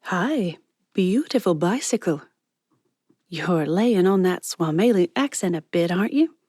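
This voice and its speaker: A woman's voice: on the low side, lively intonation, unhurried, darkish tone, no echo, clean studio sound. A woman voicing Ezra, a confident, charming friend who chats breezily and slips in French words. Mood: breezy. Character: bold, chatty woman friend, nosy and charming.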